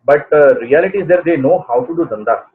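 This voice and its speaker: An Indian man whose first language is Gujarati, a brand consultant and author, talking haltingly with many fillers and Hindi mixed into his English. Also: fairly high pitch, lively intonation. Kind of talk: casual video interview. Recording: tinny phone-like line, faint noise.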